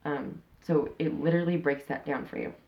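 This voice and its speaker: A woman's voice: sits low, steady tone, a little fast, creaky, highs cut off. A woman in her thirties talking frankly in a casual interview, rambling with many fillers and restarts. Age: 30s. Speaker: interviewee, channel host.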